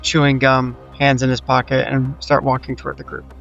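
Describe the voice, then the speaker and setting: A man's voice: mid-range voice, completely flat delivery, brisk, vocal fry, fairly bright tone, muffled recording, faint music behind. A man playing a tabletop game, casual and understated as he improvises narration and dialogue. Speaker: tabletop roleplaying player.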